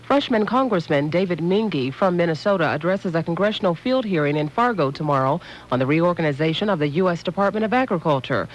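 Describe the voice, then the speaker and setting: A woman's voice: lowish voice, lively intonation, fairly quick, few pauses, poor audio. A woman with an American accent anchoring a formal, scripted radio newscast.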